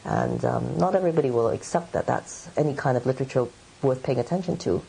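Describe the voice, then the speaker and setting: A woman's voice: a bass voice, fast, vocal fry, mellow, slight background noise, rough recording. A middle-aged woman writer from Hong Kong, answering interview questions in a thoughtful, meandering way with many fillers. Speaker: writer and editor.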